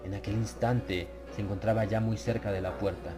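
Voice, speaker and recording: A man's voice: lowish voice, fairly even intonation, normal speed, loud music behind the voice. A man narrating a dramatized horror audiobook.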